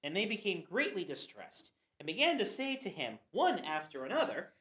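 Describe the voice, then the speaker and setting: A woman's voice: fairly low pitch, big pitch swings, moderate pace, a bit bright. A woman narrating scripture for a church passion play reading. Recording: roomy echo.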